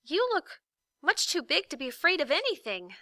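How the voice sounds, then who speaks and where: Small storybook boy, played by a woman, high bright voice, meek, earnest, pleading. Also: very animated, normal speed, no room sound, clean studio sound, exaggerated voice. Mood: anxious. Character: small boy, polite, meek but quick-thinking. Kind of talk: children's storybook read-aloud.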